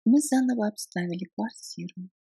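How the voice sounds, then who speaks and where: A woman reading short practice sentences, each followed by a slow repetition split into chunks. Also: mid-range voice, creaky, warm tone, slightly rough, no echo, studio quality. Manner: reads each sentence, then repeats it slowly in chunks. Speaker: language teacher. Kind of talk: slow phrase-by-phrase drill reading.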